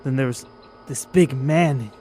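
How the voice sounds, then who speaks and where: A man playing an injured, shaken scientist in an audio drama, recounting in a strained, hurried way, with faint background noise. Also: medium pitch, dark tone, natural voice. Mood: shaken, weak. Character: injured, shaken male scientist in lab coat.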